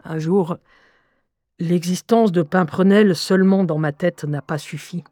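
A woman playing a wry young adult who narrates her memories in an audio drama, confiding and deliberate, with dry irony. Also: on the low side, warm tone, no room sound. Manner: deliberate, confiding, dryly ironic. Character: wry, introspective young woman recalling her troubled childhood. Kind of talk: scripted first-person audio drama narration.

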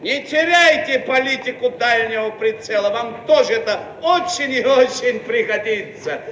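A self-important Soviet official with a very high, declamatory voice. He is officious and pompous, in the manner of stage satire. The old radio recording is echoey and distant. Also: expressive, moderate pace, fairly bright tone, highs cut off, a little hiss or hum. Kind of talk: theatrical satirical radio comedy acting.

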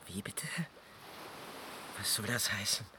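An actor playing an agitated young suitor in a German radio drama. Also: male voice, fairly low pitch, fairly even intonation, slow, pushed and bright, a little hiss or hum, exaggerated voice. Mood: puzzled. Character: agitated young suitor, mocking and pleading with his childhood sweetheart.

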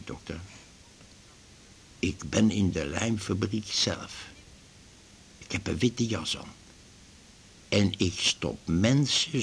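A man's voice: low-pitched, vocal fry, a little hiss or hum, heavily acted. An actor playing an aggrieved, garrulous retired glue salesman in his fifties in a radio drama, rambling and grumbling. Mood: confiding. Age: 50s. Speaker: patient in a doctor's office. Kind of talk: naturalistic radio drama acting.

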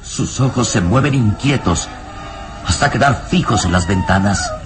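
A man narrating a radio melodrama in suspenseful, measured phrases with dramatic emphasis. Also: medium pitch, sing-song. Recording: radio drama with music underneath.

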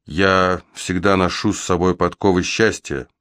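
A man's voice: low voice, flat delivery, unhurried, fairly bright tone, smooth. A man voicing an awkward, self-mocking male character in audiobook dialogue. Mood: sheepish.

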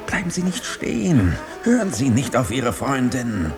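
An actor in a radio drama playing a hunted, engineered man who eats noise, speaking urgently and haltingly, often breaking off. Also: mid-range voice, wildly swooping pitch, music in the background. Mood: urgent, beckoning. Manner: halting, insistent, breaking off sentences. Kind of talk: German radio drama acting.

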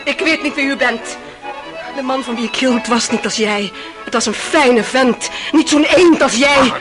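A high-pitched, proud and capricious young woman in love. She speaks with theatrical intensity in a radio drama, and music plays clearly behind her. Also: a little slow. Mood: scornful, bitter. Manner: theatrical, emotionally intense delivery. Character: passionate, proud, capricious Russian young woman, tormented lover. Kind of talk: Dutch radio play acting.

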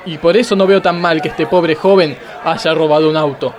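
A man's voice: on the high side, fairly even intonation, normal speed, close to an everyday voice. An Argentine man playing a pompous, exasperated, self-pitying judge in a comic radio play, declaiming melodramatically. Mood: melodramatic self-pity. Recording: crowd noise behind the voice.

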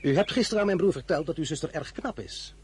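A brisk young male general played by an actor in a radio play, bold and sure of himself. His pitch swings widely as he presses his point, and faint noise sits behind him. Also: animated, a little mellow, close to an everyday voice. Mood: teasing, insistent. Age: young adult. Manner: assertive, blunt, persuasive.

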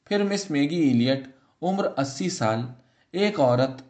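A man reading a literary short story as an audiobook narrator, in a measured, expressive storytelling manner. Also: on the high side.

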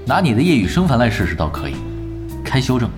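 Brief: man voicing a calm, dryly teasing gamer captain in an audio drama, mid pitch but lively, music behind. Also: fast talker. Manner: relaxed, dry, teasing understatement. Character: laid-back, cocky veteran male gamer captain. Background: background music.

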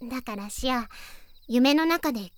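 A woman voicing a teenage girl in an anime audio drama, playfully teasing and softly pouting, with a lonely side. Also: a little high, lively intonation, moderate pace, no echo, lightly acted. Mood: quiet, wistful.

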